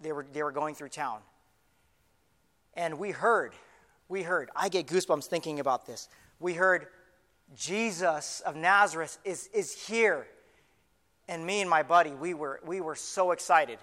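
Guy doing a blind beggar telling his bible story, awestruck, bright forceful voice, echoes in a big hall. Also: a little high. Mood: excited, then earnest, proud. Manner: halting, repeats phrases, conversational storytelling. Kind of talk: first-person dramatic monologue, retelling a Bible story. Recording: live in a large reverberant hall.

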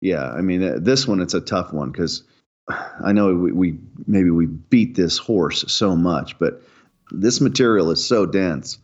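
A man co-hosting a podcast in casual chat, with a cold and a cough, relaxed and joking with some hesitation. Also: low voice, measured pace, lo-fi sound. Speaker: podcast co-host.

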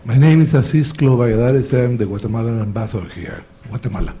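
A man's voice: medium pitch, expressive, fast, warm tone, slight background noise. A man asking a polite, formal question from the audience.

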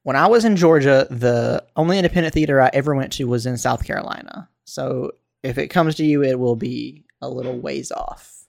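An American man chatting casually and telling stories in a rambling, animated way. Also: medium pitch, a little fast, gravelly, dry recording, studio quality. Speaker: podcast co-host. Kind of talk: casual chat, storytelling.

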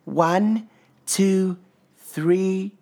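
A man voicing a very little, impatient boy bear cub in an excitable, childlike way for a storybook. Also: high voice, very slow, pitched above the performer's own voice, exaggerated voice. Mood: hopeful, childlike.

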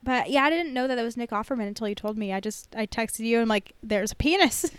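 High smooth voiced woman on a podcast, super casual, swears, says like constantly, dry sound. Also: lively intonation, a little fast, no echo. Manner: informal, sweary, peppered with "like". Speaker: podcast co-host. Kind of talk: casual chat about a TV show.

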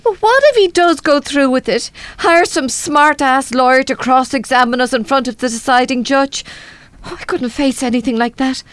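Radio drama: a woman acting an anxious, worn-down mother, higher pitch, no room echo. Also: normal speed, natural voice. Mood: anxious despair. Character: anxious, worn-down young mother, fearful and self-doubting. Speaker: radio play actor.

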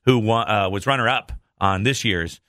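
A man's voice: fairly low pitch, moderate pace, a bit bright, dry recording, studio quality. A man hosting a radio morning show, bantering casually and teasingly with callers. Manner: jokey, teasing, breaks into playful singing.

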